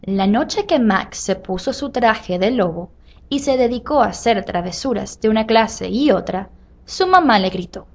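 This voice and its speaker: A woman narrating a scripted children's story with dramatic pauses and drawn-out phrases. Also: fairly high pitch, animated. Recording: faint background noise.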